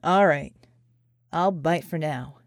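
Lady doing a gruff wary american guy, drawly and skeptical, audiobook style, close dry sound. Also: a little high, takes it slowly, no echo, pitched below the performer's own voice. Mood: wary, puzzled. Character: skeptical, wary American man with lost memory.

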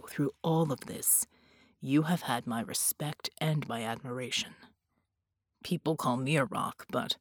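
Woman voicing a low, murmuring, stoic man. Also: dry recording, studio quality. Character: gruff, stoic, gentle older comrade, a steadfast man. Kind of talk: audiobook character dialogue.

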